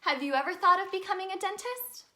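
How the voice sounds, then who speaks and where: A woman reading a children's picture book aloud as a storyteller, in an expressive, sing-song manner aimed at young children. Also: very high voice, fast talker. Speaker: storyteller in character as the Tooth Fairy.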